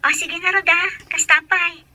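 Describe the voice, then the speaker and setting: An actress with an Ilocano accent playing an earnest, gentle woman in a family radio drama. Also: very high-pitched, little pitch movement, normal speed, no room sound, full character voice. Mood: apologetic, reassuring.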